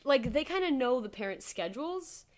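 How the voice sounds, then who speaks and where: Brief: young woman with a high voice, casual true-crime podcast co-host, filler-heavy and chatty. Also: sing-song, moderate pace.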